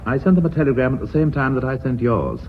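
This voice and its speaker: A man's voice: medium pitch, very animated, fast talker, natural voice. An actor with a British accent playing a composed, polite and formal company chairman in a radio drama. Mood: calm, in control. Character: courteous, composed senior knighted company chairman. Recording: dull, slightly noisy radio drama recording.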